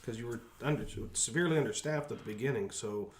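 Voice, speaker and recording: A man's voice: medium pitch, fast, a little hiss or hum. A man interviewing in a conversational way, asking follow-up questions, recorded with a roomy echo. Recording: roomy, echoing sound.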